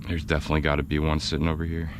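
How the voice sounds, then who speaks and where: A man with a low, slightly raspy voice talks casually from a kayak, excited and chatty. He has an American accent. Faint wind and water noise sit under him. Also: rapid-fire. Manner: excited, chatty, laughs often. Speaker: fishing video host. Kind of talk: casual on-the-water vlog narration. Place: outdoors on a kayak in a windy swamp.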